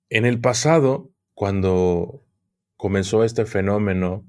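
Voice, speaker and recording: This is a man with a very low voice. He answers as a podcast guest in a hesitant way, often backing up and restarting his phrases. His explanations still run on at length. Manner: hesitant, with frequent restarts and fillers. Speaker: guest, occult YouTuber. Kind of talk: interview answers.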